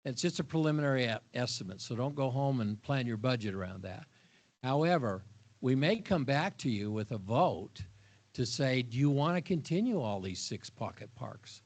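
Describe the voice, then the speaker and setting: A man's voice: medium pitch, lively intonation, moderate pace. Older man speaking frankly at a town hall. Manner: candid, frank, stammering restarts. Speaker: HOA board member. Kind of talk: town hall meeting remarks.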